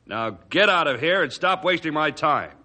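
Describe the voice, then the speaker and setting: An actor playing a scornful, corrupt small-town mayor, blustering and dismissive, in an old-time radio adventure. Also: male voice, fairly high pitch, expressive, normal speed, bright, forceful tone. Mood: irritated, dismissive.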